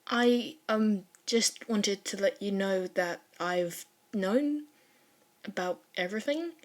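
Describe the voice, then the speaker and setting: Woman voicing a shy, gentle, hesitant teenage boy. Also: medium pitch, slow, lightly acted. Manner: hesitant, softly apologetic.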